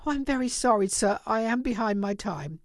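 A woman's voice: on the high side, lively intonation, brisk, dry recording, studio quality, natural voice. A woman voicing a humble, apologetic male clerk in a storybook reading, deferential and pleading.

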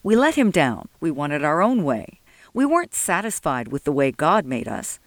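A narrator plays an old, devout man in an audiobook story. He is solemn, weary and urgent, preaching with earnest emphasis and lively swings of pitch. The sound is dry and close. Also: moderate pace, no echo. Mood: firm, preaching. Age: older.

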